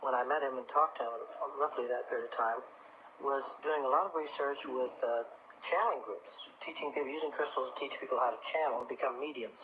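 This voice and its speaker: A middle-aged man being interviewed on a radio show, telling his story in a halting, reflective, conversational way. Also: medium pitch. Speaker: radio guest, crystal healing teacher. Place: radio talk show broadcast. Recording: muffled, noisy 1980s radio broadcast, phone-like.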